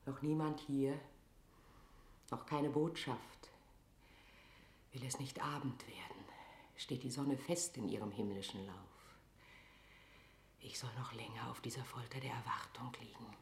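A woman's voice: extremely low pitch, steady tone, a little slow, long pauses. An actress playing an imperious, scheming Queen of England in a declamatory classical radio drama style. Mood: anxious, brooding. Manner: declamatory, regal, swinging from whisper to outburst. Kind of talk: classical German stage verse in radio drama. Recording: roomy studio radio drama echo.

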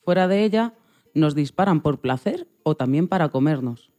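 A man with a fairly high, very animated voice reads a mistreated bird's sad first-person story. His tone is soft and mellow, in a scripted radio-story style. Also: moderate pace. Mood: sorrowful.

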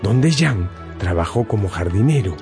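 A man's voice: on the low side, expressive, normal speed. A man narrating a scripted radio drama as a dramatic storyteller. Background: background music.

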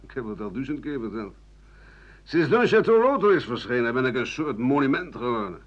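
An actor playing a bitter, sardonic old doctor-writer, grumbling theatrically through a radio play monologue. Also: male voice, medium pitch, sing-song, moderate pace. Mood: bitter, weary. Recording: muffled old radio broadcast recording.

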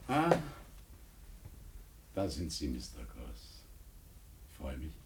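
An actor in a 1950s German radio drama playing a cultured, talkative émigré lawyer, polite and theatrical, with weighty pauses. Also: male voice, rumbling low, wildly swooping pitch, gravelly, background noise, full character voice. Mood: courteous, genial.

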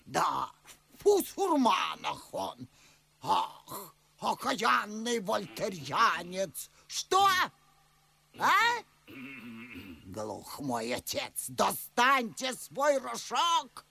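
A woman's voice: mid-range voice, wildly swooping pitch, vocal fry, bright, forceful tone, breathy edge, dry recording, full character voice. A woman playing a hard-of-hearing, muddled old countess in a radio verse comedy, fussily exclaiming and questioning. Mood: alarmed, fussy. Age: older. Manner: mishearing, exclaiming, drawn-out questions. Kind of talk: classic verse comedy radio play acting.

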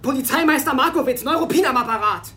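A woman playing a feisty small-town policewoman in a radio drama, brisk, sardonic and quick to take offence. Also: high-pitched, noisy background, close to an everyday voice. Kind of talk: German radio crime drama acting.

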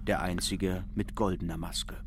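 A man's voice: low voice, steady tone, normal speed, slight background noise. A man narrating a literary radio play in a scripted style, with music behind his voice.

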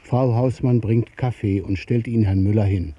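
A man narrating a radio play in a measured, literary way. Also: fairly low pitch, steady tone, husky, dull audio.

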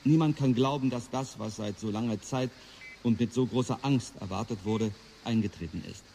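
A man acting in a radio play as a grave war reporter, solemn and measured, as if live on air. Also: medium pitch, creaky, warm tone, a little hiss or hum, natural voice. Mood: grave, tense. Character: grave male reporter inside a war command bunker.

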